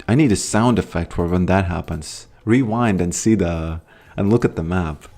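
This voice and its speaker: A man's voice: sits low, normal speed, warm tone, soft background music. A man from Latvia chatting casually with his viewers while streaming a game, rambling and musing as he plays. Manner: rambling, musing, greets chat. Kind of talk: casual gaming livestream chat.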